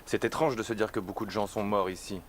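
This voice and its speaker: An actor playing a reflective, battle-worn French soldier in a game, subdued and matter-of-fact. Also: male voice, on the low side, fairly even intonation, quick pace, background noise, lightly acted. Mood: sombre. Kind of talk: subdued game dialogue in character.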